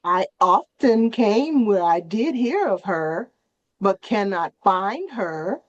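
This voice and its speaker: A woman playing a sober male friend bringing news, reading Shakespeare in a measured, plain way. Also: medium pitch, very animated, dry recording, lo-fi sound. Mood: sober reporting. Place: online video call reading.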